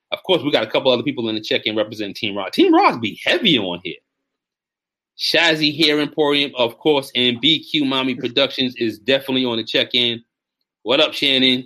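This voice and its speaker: Bright-voiced man in his forties. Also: medium pitch. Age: 40s. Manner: animated, repeats words, gives shout-outs. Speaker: fitness coach and live stream host. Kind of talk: casual live stream chat.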